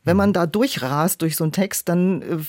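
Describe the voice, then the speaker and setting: A woman's voice: on the low side, normal speed, darkish tone, no echo, studio quality. A woman speaking in a reflective interview as an audiobook director, explaining things and illustrating them with quoted asides. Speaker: audiobook director and producer, podcast guest.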